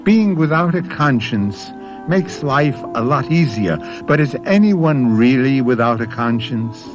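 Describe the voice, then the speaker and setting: A man hosting and narrating a radio drama in a scripted, deliberate, ominous and musing manner. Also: medium pitch, expressive. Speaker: host and narrator. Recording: music under the voice.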